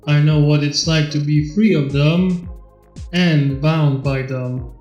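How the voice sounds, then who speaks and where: A man voicing a cool, world-weary robot rebel with a guarded, measured manner in a fan fiction reading. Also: a little high, steady tone, darkish tone, roomy sound, highs cut off, soft background music, pitched below the performer's own voice.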